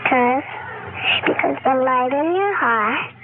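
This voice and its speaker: A small girl speaks very high and slowly, with simple earnest answers. The recording is narrow, noisy old radio. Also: animated. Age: child.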